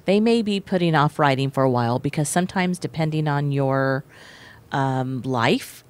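Woman, forties, low pitch, animated guest talk, handheld mic, small studio. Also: moderate pace. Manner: animated, gestures while talking. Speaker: actress and playwright guest. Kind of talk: casual interview chat. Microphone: handheld microphone.

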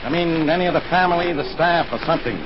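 A man's voice: a little high, quick pace, lightly acted. A man playing a blunt, sarcastic, exasperated police inspector in 1940s radio drama style. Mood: brisk, sardonic. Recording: muffled, noisy 1940s radio recording.

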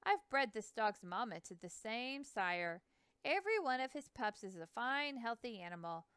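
A woman's voice: a little high, big pitch swings, normal speed, a bit bright, clean tone, dry recording, clean studio sound, voice pushed up. A woman voicing a plainspoken, proud male river dog breeder with a folksy way of talking. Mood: friendly.

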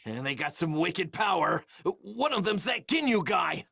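A small, anxious young fighter, a lad from an anime game. The voice is raspy and creaky, with lively swings in pitch and a plucky air. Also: female voice, measured pace, no echo, studio quality, heavily acted. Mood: confused, alarmed. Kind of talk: anime game dub acting.

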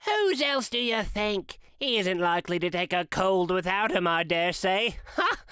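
A shrill, brazen charwoman from a Victorian storybook, acted out by a man. She is blunt and callous, pitched high and swooping with scorn. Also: expressive, measured pace, sharp tone, clear, resonant tone, no echo, studio quality, exaggerated voice. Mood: callous, defiant. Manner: shrill, defiant, working-class bluntness. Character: brash, callous old charwoman selling stolen bedclothes.

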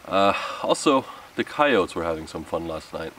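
Male outdoor vlogger, thirties, deep voice, casual rambling delivery, recorded a few metres from the mic. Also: very animated, normal speed, fairly bright tone. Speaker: camping vlogger. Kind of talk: casual vlog talk to camera. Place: outdoor wooded campsite. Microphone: camera a few metres away. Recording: distant mic with outdoor background noise.

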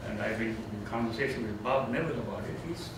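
A man's voice: lowish voice, fast, vocal fry. Older Tamil-accented man lecturing in echoey room. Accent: Tamil-accented English. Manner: reflective, chuckling, gently self-deprecating. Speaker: retiring theology professor. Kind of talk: informal farewell lecture with Q&A. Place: seminar room, indoors, medium-sized. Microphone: far camera. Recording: echoey room from far off, background noise.

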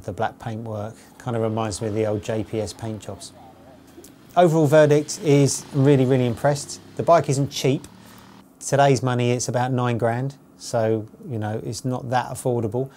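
Male reviewer, forties, relaxed dark timbre, matter-of-fact and conversational, faint noise behind. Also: medium pitch. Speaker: motorcycle road tester presenting a review. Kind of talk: unscripted spoken review to camera. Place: studio with dark backdrop, seated at table.

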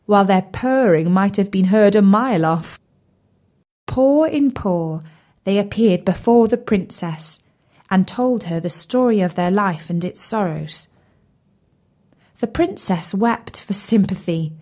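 A woman narrating a children's fairy tale as a storyteller, with an expressive, measured delivery. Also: mid-range voice. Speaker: storyteller, audiobook reader.

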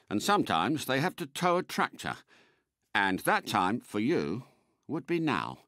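A man's voice: on the low side, a bit bright, no room sound, clean studio sound. A man playing a suave British secret agent car in a video game, polite and unflappable, with clipped, precise diction. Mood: composed, wry.

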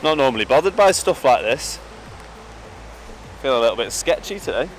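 A man's voice: medium pitch, very animated, normal speed, faint music behind. A young man vlogging on a coastal clifftop, chatting casually into a handheld camera in short, laid-back remarks. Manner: laid-back, brief quips. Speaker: travel vlogger. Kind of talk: casual outdoor vlog chat. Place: outdoors on coastal clifftop and shore. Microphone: camera held at arm's length. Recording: wind and surf noise. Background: waves and wind.

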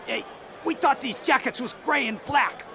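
A man voicing a dim, pushy, hungry rhinoceros trader with gravelly, blunt slangy talk in a radio comedy. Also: very high voice, normal speed, noisy background. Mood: defensive, cocky. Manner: blunt, cocky, slangy street-tough talk. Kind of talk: 1980s satirical radio comedy acting.

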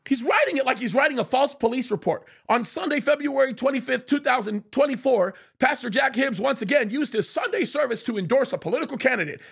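Fiery high-voiced man, gravelly edge. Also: animated, fast talker, hardly any pauses, a bit bright. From United States. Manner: indignant, emphatic, reads quotes aloud. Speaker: pastor commentator. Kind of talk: fiery commentary. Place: small dark studio, leather chair. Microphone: studio mic on boom in front.

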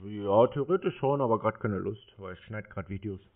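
A man's voice: lowish voice, normal speed. A man chatting casually on a phone call in a dry, provocative, unruffled manner, as someone who baits call-centre scammers. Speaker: prank call creator baiting call-centre scammers. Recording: narrow phone-band radio-like sound.

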